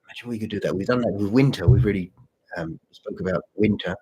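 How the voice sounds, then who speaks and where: A man with a slightly sore throat and husky voice chats casually to livestream viewers as host, halting and repeating his phrases. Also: fairly low pitch, mellow, low-quality recording. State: mild sore throat, voice deeper than usual. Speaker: channel host and story writer.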